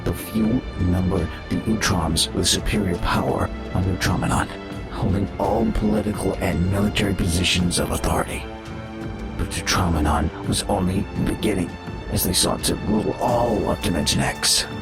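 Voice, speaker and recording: A man's voice: low-pitched, creaky, music in the background. A man voicing a learned, formal alien robot scientist telling a mournful history in a dramatic audio comic. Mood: grave, explanatory. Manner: formal, halting, elaborate wording. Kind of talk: dramatic expository narration in a fan audio comic.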